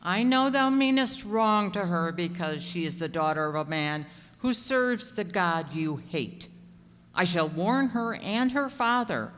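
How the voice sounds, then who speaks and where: A woman's voice: fairly low pitch, expressive, unhurried, a bit bright, smooth. A woman playing a pious, protective priest companion in a radio play, with solemn archaic thee-and-thou speech. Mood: grave warning. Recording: echoey hall, faint noise.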